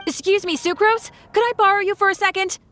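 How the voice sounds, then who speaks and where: High-voiced, businesslike shopkeeper. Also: female voice, fairly even intonation, fast talker, a bit bright, slight background noise. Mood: polite, businesslike. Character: businesslike, polite shopkeeper woman. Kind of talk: video game character dialogue.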